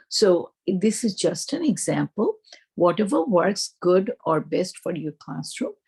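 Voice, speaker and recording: Woman, teacher trainer, Indian accent. Explanatory delivery with a gravelly edge, often asking and answering her own questions. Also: lowish voice, warm tone. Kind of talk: instructional presentation to teachers.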